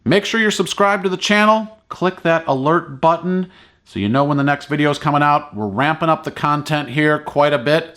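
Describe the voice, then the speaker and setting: A man's voice: fairly high pitch, fairly bright tone. A man giving a casual, encouraging play-along ukulele tutorial in an easygoing, informal way. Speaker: ukulele teacher and online video host.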